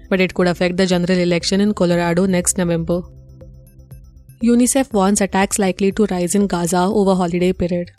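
A female news presenter with an Indian accent delivers a scripted bulletin. Her voice sits at a medium pitch, and her delivery is formal and measured, with music playing underneath. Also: dark tone.

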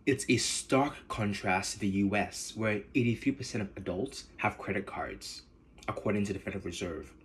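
A man from Nigeria with a Nigerian accent, hosting a podcast in measured, earnest scripted narration. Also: on the low side, slight background noise. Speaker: podcast host.

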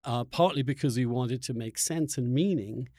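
A man in a dry, close recording hosts a thoughtful conversation. His voice sits at an average pitch. He talks reflectively, pausing and repeating words while he searches for the next idea. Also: darkish tone, dry recording. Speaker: podcast host interviewer.

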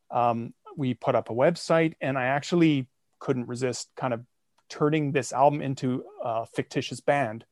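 A man's voice: mid-range voice, a little mellow, no echo. Self-mocking man telling a story, dry sound. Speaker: guest, comedy podcaster and songwriter. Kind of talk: casual interview.

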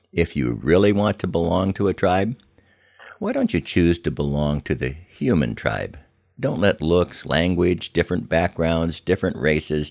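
Older male storyteller, extremely low pitch, creaky voice, wry conversational delivery. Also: darkish tone. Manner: wry, self-deprecating humor. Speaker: podcast host telling personal stories. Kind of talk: conversational first-person storytelling.

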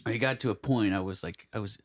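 A man with a slightly low voice chats as a podcast co-host. His delivery is informal and somewhat halting, with the curious manner of someone thinking aloud and probing ideas. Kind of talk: casual chat.

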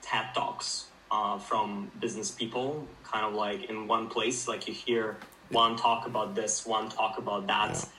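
Young guy from ukraine, lowish pitch, bright tone, kinda far from the mic, some hiss. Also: flat delivery, a little slow, few pauses. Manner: hesitant, many fillers and restarts, thoughtful. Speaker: guest, startup founder. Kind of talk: casual interview conversation.

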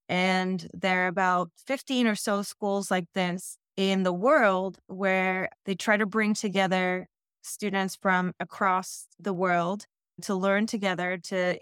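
Clear-voiced woman from the Netherlands. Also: medium pitch, fairly bright tone, no room sound, studio quality. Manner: measured, explanatory, occasional restarts. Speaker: school district planning head. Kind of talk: interview answers.

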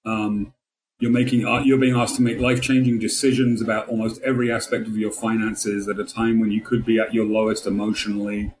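Male interview guest, financial planner, lower-pitched voice, articulate and measured in delivery. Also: level tone, darkish tone, rough recording. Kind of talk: radio interview answers.

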